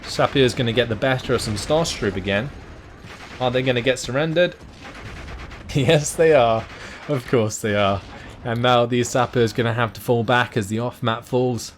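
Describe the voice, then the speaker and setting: A man commentating a strategy game match live, his voice hoarse and going as he talks. He calls the action in quick, excitable bursts and breaks into laughter at wild moments. His pitch sits in an ordinary middle range for a man. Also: slight background noise. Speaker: game caster.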